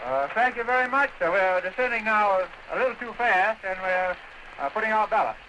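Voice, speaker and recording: High-voiced man on crackly 1930s shortwave. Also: expressive, brisk. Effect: shortwave radio. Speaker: balloon pilot in a radio conversation. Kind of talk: archival 1930s radio conversation. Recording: noisy 1930s shortwave radio recording.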